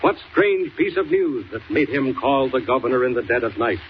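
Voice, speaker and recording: A man's voice: mid-range voice, normal speed, close to an everyday voice. A man narrating a 1940s radio serial as a grand, theatrical announcer. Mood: ominous. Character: solemn, dramatic male radio serial announcer. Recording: hissy, dull old radio transcription.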